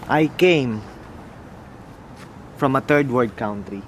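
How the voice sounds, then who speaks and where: A young man from the Philippines with a Filipino accent, talking slowly and mumbling a little as he muses aloud in a casual unboxing vlog. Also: mid-range voice, a little hiss or hum. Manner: slow, mumbling, musing aloud. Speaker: motorcycle vlogger.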